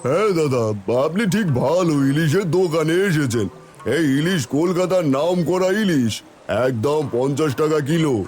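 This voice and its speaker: A pushy village fishmonger in a cartoon, acted by a man, with a fairly high male voice. He boasts and bargains over his catch, with faint music behind. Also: lively intonation, moderate pace, a little mellow, lightly acted. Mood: boastful. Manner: hawking, bargaining. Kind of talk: Bengali cartoon voice acting.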